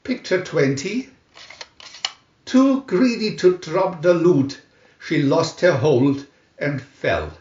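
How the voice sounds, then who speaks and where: A man narrating a scripted storybook in a deliberate, theatrical announcing manner. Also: fairly high pitch, big pitch swings, a little mellow. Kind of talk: dramatic scripted storybook reading. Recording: dull lo-fi, roomy echo.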